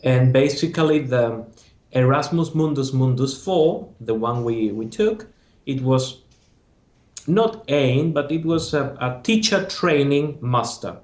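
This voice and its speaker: A young man with a Spanish accent recounts his studies in a relaxed interview. He talks haltingly, restarting phrases as he reaches for words. His mid-range voice rings in an echoey room. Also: medium pitch. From Spain. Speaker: master's graduate. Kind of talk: casual interview.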